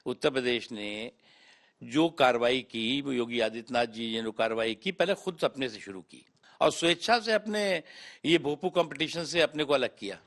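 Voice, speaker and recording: Medium-pitched man making political statement. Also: normal speed, a bit bright. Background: background music.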